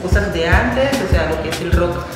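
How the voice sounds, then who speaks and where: A middle-aged woman with a Latin American Spanish accent, a band singer giving a casual interview, halting as she searches for words. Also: lowish voice. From Mexico and Dominican Republic. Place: indoor studio stage area, seated on sofa. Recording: roomy, distant, loud music behind. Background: loud music.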